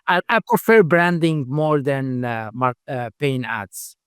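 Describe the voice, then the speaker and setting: A middle-aged man, a company founder, speaking English as a second language in a halting interview answer style, full of fillers and repeated words. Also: mid-range voice, lively intonation, fairly bright tone, clear, resonant tone, dry recording, clean studio sound. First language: not English. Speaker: founder and CEO, podcast guest.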